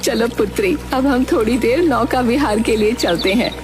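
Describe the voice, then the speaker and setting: A scheming hunchbacked woman from a storybook tale, acted out by a woman narrator. She pitches her voice high and speaks in a cunning, sweet-talking way. Music plays clearly behind her. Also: normal speed, close to an everyday voice. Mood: coaxing. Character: scheming hunchbacked woman posing as a loving aunt.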